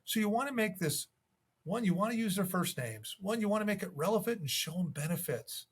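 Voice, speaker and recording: A man's voice: sits high, animated, brisk, slightly rough. A man giving instructional sales tips as a coach, in a conversational manner. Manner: conversational, acts out sample calls. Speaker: real estate sales coach.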